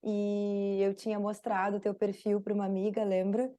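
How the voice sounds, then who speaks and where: A woman's voice: medium pitch, flat delivery, normal speed. A Brazilian woman with a Brazilian Portuguese accent, a podcast guest telling a story in casual conversation, full of fillers. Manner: warm storytelling with frequent fillers.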